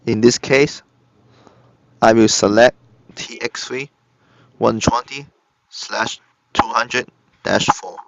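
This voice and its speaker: A man narrating a step-by-step software tutorial in short, halting instructional phrases. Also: mid-range voice, fairly even intonation, vocal fry, a little hiss or hum. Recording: dull lo-fi computer recording. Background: screen-recorded tutorial voice-over.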